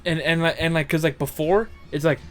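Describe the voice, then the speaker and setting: A man's voice: a little high, fairly even intonation, brisk, fairly bright tone, soft background music. A young man co-hosting a podcast in casual chat, rambling and crude, often correcting himself mid-sentence. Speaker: podcast co-host.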